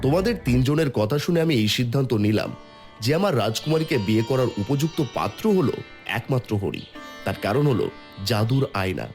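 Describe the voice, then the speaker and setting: A man's voice: medium pitch, big pitch swings, a little fast, a little mellow, soft background music. A man voicing an emphatic, fair-minded fairy-tale king in a dramatic cartoon dub. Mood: deliberate, gracious. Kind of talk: dramatic Bengali cartoon dubbing.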